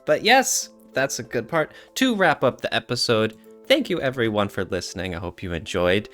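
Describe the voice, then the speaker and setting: A man's voice: medium pitch, very animated, moderate pace, a bit bright. A man hosting a podcast in a casual, playful, joking way. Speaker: podcast host. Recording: music behind the talk. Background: background music.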